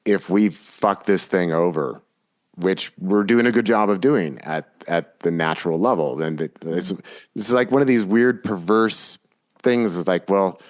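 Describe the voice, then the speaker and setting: A man's voice: deep voice, measured pace. A man hosting a podcast interview, talking in a casual, blunt, conversational way. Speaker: podcast host.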